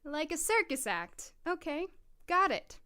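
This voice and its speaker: A woman's voice: high-pitched, big pitch swings, unhurried, pushed and bright, heavily acted. A woman playing a cool, sceptical, self-assured young doctor in an audio drama, dryly polite and clipped. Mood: curious, reserved.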